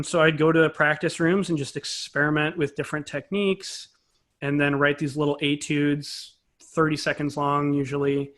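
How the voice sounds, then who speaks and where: A man's voice: on the high side, fairly bright tone. A man speaking as an interview guest, a composer and conductor explaining things conversationally in a thoughtful, self-correcting way.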